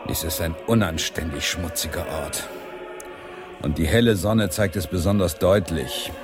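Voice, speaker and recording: An actor in a German radio drama playing a sardonic, earthy English delegate with a droll, theatrical way of talking. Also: male voice, sits low, normal speed, over music, lightly acted. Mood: disgusted. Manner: droll, theatrical, relishing long words.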